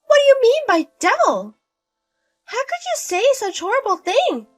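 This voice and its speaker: A woman voicing a scheming older mother-in-law who talks in a shrill, scornful, domineering way. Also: big pitch swings, moderate pace, soft background music. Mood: indignant.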